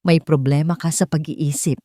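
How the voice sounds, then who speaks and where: A woman's voice: sits low, steady tone, moderate pace, mellow, no room sound, clean studio sound. Narrator as a scared but defiant young woman. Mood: wary, defiant. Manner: incredulous, defiant, determined.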